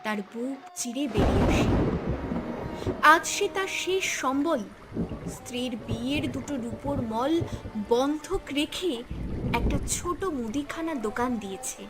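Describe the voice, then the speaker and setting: Female cartoon narrator: high voice, dramatic storytelling, light music bed. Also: animated, a little slow.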